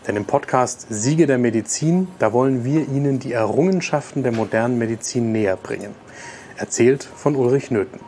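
A man's voice: mid-range voice, moderate pace, warm tone, a little hiss or hum. A man giving a scripted, formal promotional presentation as a doctor, addressing the listeners directly.

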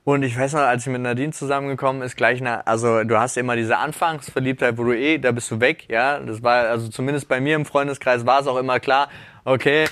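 A man's voice: medium pitch, steady tone, quick pace, fairly bright tone, no echo, clean studio sound. A man co-hosting a casual podcast, rambling and breaking off mid-sentence as he tells stories from his own relationships. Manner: rambling, self-interrupting, laughs at his own remarks. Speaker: podcast co-host.

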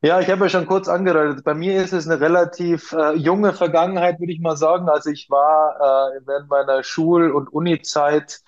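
A man's voice: on the high side, little pitch movement, moderate pace, hardly any pauses. A man in his forties with an Austrian accent, answering casually as an interview guest and often hesitating. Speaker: interview guest, brewery co-founder. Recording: dull, lo-fi interview audio.

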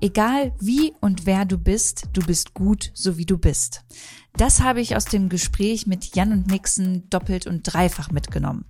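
A woman hosting a podcast interview, recorded indoors in a shop, asking her guests clear, personal questions. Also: medium pitch, a little slow, dark tone, soft background music. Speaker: podcast host.

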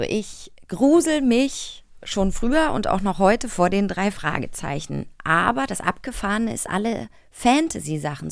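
Woman, podcast co-host, chatty, lively intonation, fry in the voice, casual wording. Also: mid-range voice, no room sound, studio quality.

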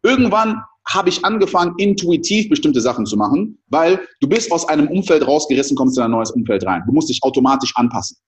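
A man in his thirties, raised in the Ivory Coast, telling his life story as an interview guest with lively, filler-heavy talk. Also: a little high, quick pace, hardly any pauses. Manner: animated storytelling with many fillers.

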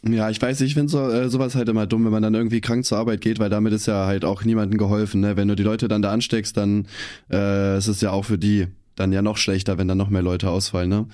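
Young male podcast co-host, hoarse, lowish voice, relaxed slangy delivery. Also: quick pace, no room sound, studio quality. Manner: laid-back, slangy, many fillers. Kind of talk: casual chat.

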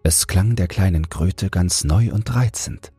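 A man reads a bedtime story in a very breathy, low voice. His delivery is slow, gentle and soothing, recorded close and dry over music. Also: no echo. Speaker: audiobook narrator and podcast host. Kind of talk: soothing bedtime fairy-tale narration.

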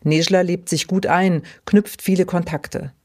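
A woman hosting a podcast, reading scripted narration in a clear, even way. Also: on the low side, a little slow. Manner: clear, even read-aloud delivery. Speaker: podcast host.

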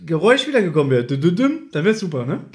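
A man's voice: fairly high pitch, very animated, very fast, a little mellow. A man co-hosting a podcast, casually reviewing an audio drama in a teasing, ironic way. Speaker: podcast co-host.

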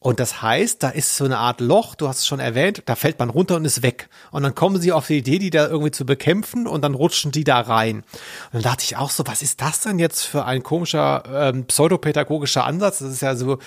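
A man's voice: medium pitch, lively intonation, brisk, no room sound. Dry-recorded male podcast co-host, searching delivery. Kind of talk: casual pop-culture podcast chat.

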